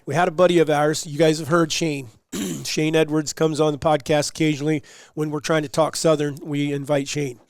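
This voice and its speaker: A man's voice: a little high, level tone, fairly quick, gravelly, no room sound. Nasal man with a cold, chatty host. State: slightly nasal from a runny nose. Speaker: podcast co-host. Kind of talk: casual podcast chat.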